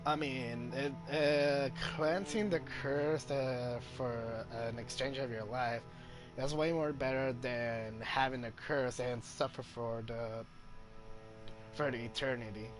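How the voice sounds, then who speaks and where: A man's voice: medium pitch, fairly even intonation, takes it slowly. A man giving casual playthrough commentary, hesitant, thinking aloud and repeating himself. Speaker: gaming video host. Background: game music.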